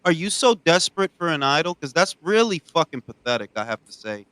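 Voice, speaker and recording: Ranting profane man, wildly swinging pitch. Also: big pitch swings, fairly quick, clear, resonant tone, no echo. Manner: ranting, profane, swings from low mutters to loud outbursts. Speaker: podcast host. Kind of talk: heated boxing talk.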